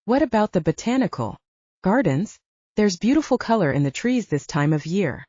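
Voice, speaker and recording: Audiobook role: confident young man, teasing and courtly, performed by a woman. Mellow tone, slightly lo-fi recording. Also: mid-range voice, sing-song, normal speed, dry recording. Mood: measured, earnest. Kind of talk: audiobook character dialogue.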